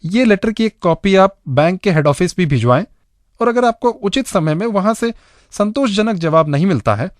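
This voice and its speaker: A man's voice: sits high, animated, normal speed, dry recording, studio quality. A man with an Indian accent presenting a radio show in scripted narration, addressing the listeners directly.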